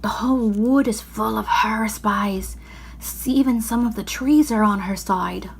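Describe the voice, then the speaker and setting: A woman's voice: medium pitch, unhurried, gravelly, slight background noise. A woman voicing an anxious, remorseful male faun from a storybook, pleading and confessing dramatically. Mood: resolved, urgent.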